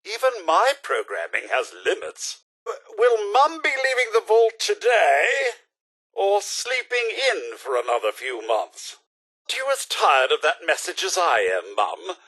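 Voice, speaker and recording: A prim robot butler from a video game, speaks in plummy, theatrical British tones. The voice sits high and leaps wildly up and down with a bright, piercing edge. Every phrase is fussy, courteous and exclamatory. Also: male voice, unhurried, slightly rough, heavily acted. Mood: weary, exasperated. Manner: plummy, over-polite, exclamatory, highly animated. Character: chipper, prim, fussy male robot butler with weary politeness.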